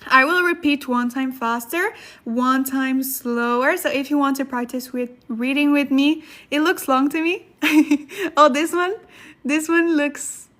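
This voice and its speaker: A woman's voice: high-pitched, fairly even intonation, measured pace, fairly bright tone. A woman hosting a casual language study livestream, chatting with viewers in a giggly way and repeating herself for emphasis. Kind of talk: casual livestream chat and lesson, reading viewer comments.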